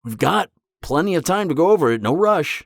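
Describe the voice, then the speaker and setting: A man's voice: a little high, sing-song, a little fast, creaky, dry recording, studio quality, full character voice. A man playing an awkward, earnest, by-the-book supervillain on a date in an audio drama, speaking in a hesitant, stammering, matter-of-fact way. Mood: earnest, businesslike. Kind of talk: conversational roleplay audio drama.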